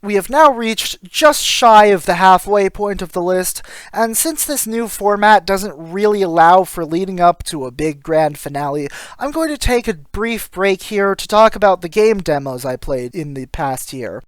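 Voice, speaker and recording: A man's voice: high-pitched, expressive, moderate pace, hardly any pauses, clean tone, no room sound. A man reading scripted voice-over commentary as a video game reviewer.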